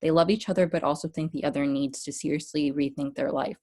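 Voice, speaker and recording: Low-voiced woman reading screenplay directions. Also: steady tone, quick pace, warm tone. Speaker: stage-direction reader. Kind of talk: scripted narration.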